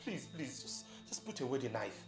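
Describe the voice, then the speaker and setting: A man's voice: mid-range voice, big pitch swings, a little fast, natural voice. A man with a Nigerian accent playing a panicky, pleading cheating husband who stammers and repeats himself in a film melodrama. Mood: terrified pleading. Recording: distant echoey room with music.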